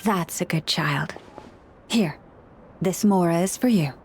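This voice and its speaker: A woman voicing a gentle, courteous librarian and keeper of relics in a video game, speaking calmly with soft formality, over game music. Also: fairly low pitch, takes it slowly, slight background noise. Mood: patient. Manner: calm, polite, softly formal. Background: game background music.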